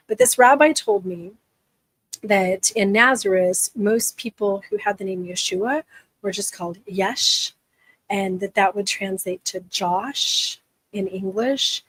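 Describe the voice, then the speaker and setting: A woman in her forties chats frankly with live viewers, often restarting her sentences. Her voice is worn, as if she is losing it, and sits at an ordinary middle pitch. The sound is close and dry, with no room echo. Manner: candid, conversational, with frequent restarts. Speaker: livestream host. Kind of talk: casual live chat answering viewers.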